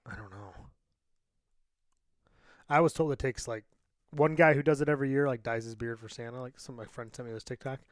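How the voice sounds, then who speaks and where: A man of thirty, co-hosting a casual podcast and telling profane stories in a quick, dry close-mic sound. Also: mid-range voice, no room sound. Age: 30s. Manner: profane storytelling with acted quotes. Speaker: podcast co-host.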